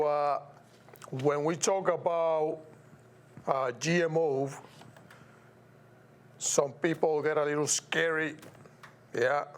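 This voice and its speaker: A man from Puerto Rico with a Puerto Rican accent, hosting his own show in a measured, emphatic way, now and then repeating words or stumbling over them. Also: fairly high pitch. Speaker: show host. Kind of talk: slow, deliberate solo commentary.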